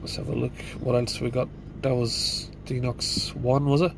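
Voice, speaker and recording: Mechanic guy sitting in a van, stuffy hay fever voice, pretty monotone, bit of hiss behind. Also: medium pitch, normal speed, creaky. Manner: plain, thinking aloud with fillers. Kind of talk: casual diagnostic walkthrough. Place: inside a van in a garage.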